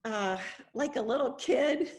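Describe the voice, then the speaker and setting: An expressive woman speaking reflectively as a professor hosting an online discussion over a video call. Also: sits high, a little slow. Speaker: host, English professor.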